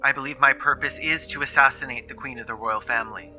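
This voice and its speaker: Muffled radio sound, guy doing young delusional assassin wannabe, fast n really tense voice, chat reenactment. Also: mid-range voice, bright, forceful tone, soft background music. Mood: grimly intent. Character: delusional young male would-be assassin chatting with AI. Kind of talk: re-enactment of a chat log.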